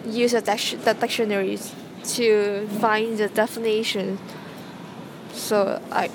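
Hesitant Hong Kong woman with background noise. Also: medium pitch. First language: Cantonese. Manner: hesitant, many restarts and hums. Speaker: interviewee, student. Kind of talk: casual interview answers.

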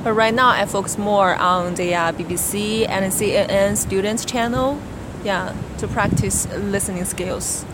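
Young woman, Chinese accent, non-native speaker, hesitant interview answers, steady mid pitch, noisy background. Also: measured pace. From China. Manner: hesitant, many fillers and restarts. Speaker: interviewee, graduate student. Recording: background noise.

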